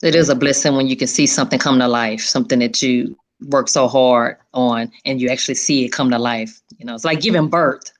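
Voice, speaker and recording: A woman's voice: extremely low pitch, a little fast, few pauses. A woman hosting a casual, chatty interview show, often repeating and affirming what others say. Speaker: talk show host.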